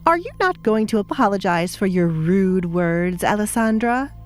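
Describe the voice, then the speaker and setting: A woman's voice: medium pitch, animated, normal speed, faint music behind, lightly acted. A woman voicing a harsh, imperious middle-aged baroness in an audio drama, with a cold, commanding manner. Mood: cold, threatening. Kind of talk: audio drama character acting.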